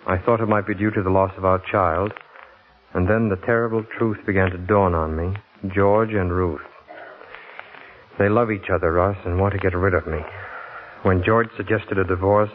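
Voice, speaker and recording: An actor playing a troubled, guarded widower giving reserved testimony in a 1940s radio drama. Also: male voice, low-pitched, natural voice. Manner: reserved, terse, evasive. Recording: muffled 1940s radio broadcast.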